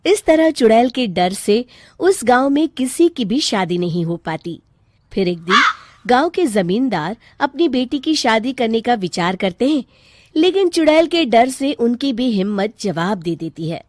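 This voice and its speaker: A woman's voice: fairly high pitch, animated, a little slow, no echo, studio quality. A woman reading scripted narration for a cartoon folk tale.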